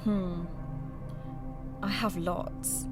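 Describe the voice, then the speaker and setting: A woman playing a curious, polite podcast host and investigator, speaking in a measured, everyday way as in a scripted audio drama. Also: fairly low pitch, fairly even intonation, loud music behind the voice. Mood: hesitant, curious. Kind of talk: scripted narration and staged interview.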